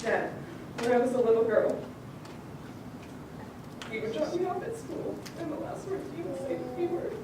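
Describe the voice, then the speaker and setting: Brief: young female graduate speaker, higher voice, joking sincere tone, distant mic in a reverberant hall. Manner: light, joking and tearful at times, frequent laughs. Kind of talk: ceremony speech. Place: large hall. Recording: echoey hall, far from the mic, audible noise.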